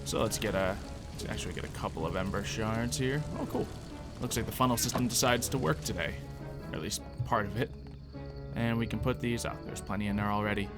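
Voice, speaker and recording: A man's voice: lowish voice, brisk, over music. A man giving casual, chatty gameplay commentary as a let's-player, thinking aloud as he goes. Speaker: video game let's-player.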